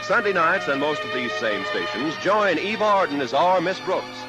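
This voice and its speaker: A man's voice: on the high side, expressive, normal speed, low-quality recording. An American man announcing in polished, emphatic old-time network radio promotional style. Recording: vintage radio broadcast over music.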